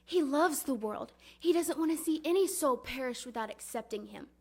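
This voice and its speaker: A woman performing a dramatic religious monologue as a grieving, devout mother recalling her son, speaking earnestly and deliberately. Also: high voice, lively intonation. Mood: pained, earnest. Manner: earnest, reverent, deliberate storytelling.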